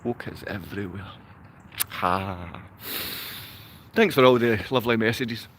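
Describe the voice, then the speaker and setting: A man's voice: lowish voice, measured pace. A man from Glasgow with a Glaswegian Scottish accent, talking unscripted to livestream viewers in a rambling, repetitive way full of rhetorical questions. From Glasgow, Scotland.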